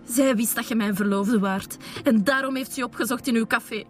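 A woman's voice: a little high, a little fast, natural voice. An actress playing a hurt, accusing young Flemish woman betrayed by her fiancé in a radio drama. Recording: music behind the voice.

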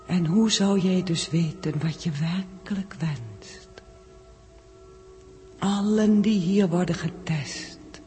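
A performer voicing an enigmatic living planet in a slow, whispery, oracular way in a 1960s radio drama. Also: mid-range voice, a little mellow, natural voice. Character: soft, enigmatic living planet speaking to an astronaut. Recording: 1960s radio recording with music.

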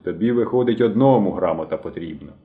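A man's voice: deep voice, expressive, quick pace, phone-quality sound. A man voicing a stern elderly working-class aunt in an audiobook, grumbling and reproachful, with emphatic delivery. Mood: slow reproach.

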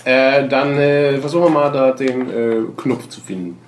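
A man's voice: medium pitch, fairly even intonation, normal speed. A man playing a tabletop role-playing game, chatting casually as he plans moves and asks questions. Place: indoor room with other players. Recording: roomy, noisy group recording with clicks.

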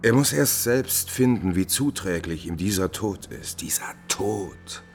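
Scheming male courtier, low-voiced, cold-blooded and coaxing, deliberate delivery, vintage 1950s radio drama. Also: expressive, faint music behind. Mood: coldly mocking.

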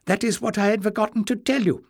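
A man's voice: high-pitched, fairly even intonation, fast, creaky, a little mellow, no echo, pitched above the performer's own voice. A man voicing a frail, eager old male librarian who mumbles and hesitates.

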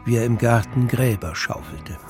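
A man's voice: mid-range voice, normal speed. A man narrating a scripted, dramatic audiobook as a storyteller. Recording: music bed under narration.

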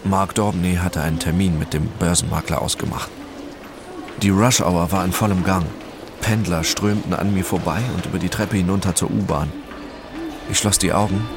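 A man's voice: low voice, creaky. A man playing a detached, brooding narrator who tells his own story in a matter-of-fact literary radio drama style. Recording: music bed behind the voice.

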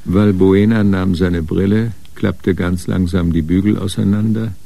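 An actor narrating a radio crime drama as a composed storyteller. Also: male voice, sits low, steady tone, unhurried, vocal fry, mellow, exaggerated voice. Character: composed male storyteller of a detective tale.

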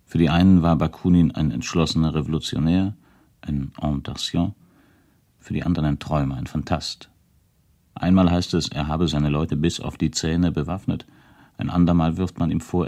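An actor playing a puzzled, persistent researcher who questions witnesses in a measured, inquiring way in a German radio drama. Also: male voice, a bass voice, fairly even intonation, warm tone. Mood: perplexed, weary.

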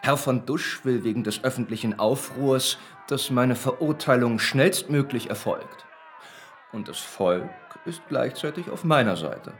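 Sardonic, self-assured professor in the dock. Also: male voice, mid-range voice, lively intonation, measured pace, a little hiss or hum. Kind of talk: German radio play acting.

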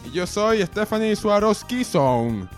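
A man playing a female special correspondent in a deliberate, announcer-like parody radio news report. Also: high-pitched, very animated, soft background music, pitched above the performer's own voice, full character voice. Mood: mock-serious. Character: mock special news correspondent in Moscow, a woman.

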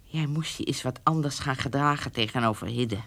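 A woman's voice: very deep voice, brisk, fairly bright tone. An actress playing a proud, unforgiving old woman in a radio drama, with a curt, cutting manner. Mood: dry, firm. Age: older. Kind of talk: naturalistic radio drama dialogue.

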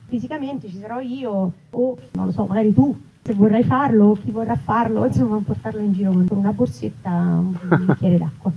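A woman speaking conversationally and reflectively in an interview, building her thoughts in short phrases. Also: medium pitch. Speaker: writer and actress, interviewee. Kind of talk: casual spoken interview before an audience. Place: live festival talk in an olive grove. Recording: muffled, noisy live event recording.

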